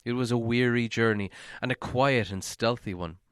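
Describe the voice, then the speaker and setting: Smooth-voiced male storybook narrator. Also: medium pitch, normal speed, no room sound, clean studio sound. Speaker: audiobook reader.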